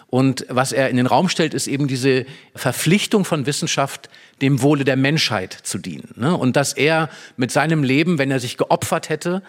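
A man speaks at a medium pitch. He explains and analyses literature in an emphatic, engaged manner, as in a discussion programme. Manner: explanatory, emphatic, acts out short quotes. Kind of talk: animated analytical discussion of a play.

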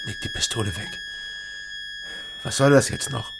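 A man's voice: medium pitch, moderate pace, creaky, noisy background, natural voice. An actor playing a weary, downcast East German investigator who narrates his own radio crime drama in a sober, terse, restrained way. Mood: tense. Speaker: narrator and protagonist. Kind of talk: terse scripted first-person crime narration and dialogue.

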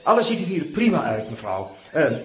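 An actor playing a polite, fussy hotel manager in a Dutch radio comedy, formal and hesitant. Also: male voice, a little high, big pitch swings, normal speed. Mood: awkward, polite. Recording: echoey tiled room.

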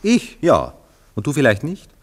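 An actor playing a jealous, cynical gentleman lover in a literary radio play, speaking in theatrical, eloquent musings. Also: mid-range voice, wildly swooping pitch, unhurried, husky, full character voice. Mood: anguished, passionate. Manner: theatrical, eloquent, musing monologues with emphatic swings.

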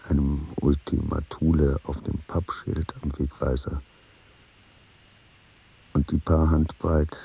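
An actor playing a weary dying man in a radio play, musing haltingly and gravely, sometimes sinking into a breathy whisper. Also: extremely low pitch, mellow, highs cut off, exaggerated voice. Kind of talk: literary radio play monologue.

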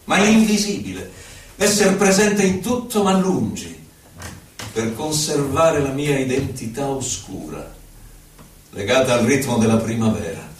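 An actor playing a melancholy, haunted poet who recalls his life in solemn, deliberate theatrical verse with dramatic pauses. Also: mid-range voice, expressive, slight background noise, lightly acted. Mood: anxious, withdrawn. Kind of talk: theatrical poetry recitation. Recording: echoey hall from far off, faint music. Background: faint music, violin.

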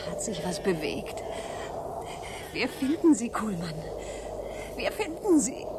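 An actress playing a self-assured, sharp-tongued space pilot in a radio drama, crisp and independent. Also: female voice, mid-range voice, big pitch swings, slow pace, very noisy. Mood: breathless wonder. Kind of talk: German radio drama acting.

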